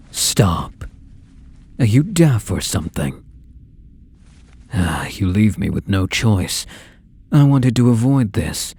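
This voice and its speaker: An actor playing an obsessive, possessive young male stalker in an intimate ASMR roleplay, coaxing softly with falsely tender menace. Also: on the low side, slightly rough, heavily acted. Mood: stern, threatening. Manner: soft, coaxing, menacing, slow and deliberate.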